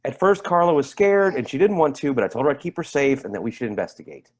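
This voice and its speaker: An actor playing a rattled, defensive man giving halting, emphatic testimony in a dramatic staged reading. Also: medium pitch, lively intonation, a little mellow, natural voice. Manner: halting, emphatic, repeats himself. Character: rattled, defensive man testifying about an eerie deer encounter.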